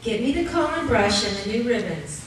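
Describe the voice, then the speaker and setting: A woman playing a doting, brisk 1950s housewife and mother in a live old-time radio play. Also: a little high, very animated. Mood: brisk, motherly. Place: theater stage before live audience. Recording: echoey noisy live stage, distant mic.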